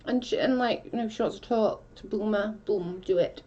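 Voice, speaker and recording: A woman's voice: medium pitch, moderate pace, mellow, dull audio. A woman from South Wales with a Welsh accent, chatting casually on a livestream and reading out comments in a rambling way with many restarts.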